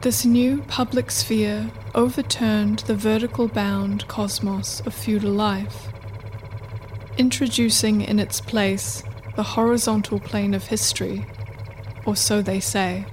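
A woman reading scripted narration for a radio play in a measured, deliberate way. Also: mid-range voice, level tone, a little mellow, a little hiss or hum.

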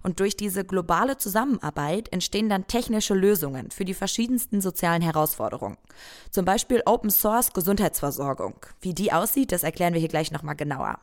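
A woman host reading a scripted podcast introduction in a clear, friendly voice. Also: fairly low pitch, animated, a little fast.

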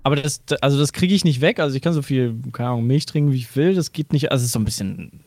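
A man on a casual podcast chat, lively and joking, often laughing. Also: medium pitch, fast talker, dry recording, clean studio sound. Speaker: podcast regular.

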